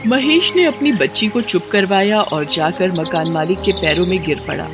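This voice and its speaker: A woman narrating a scripted children's moral story as a storyteller, expressive and dramatic. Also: on the low side, normal speed, loud music behind the voice. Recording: loud rain and ambience behind.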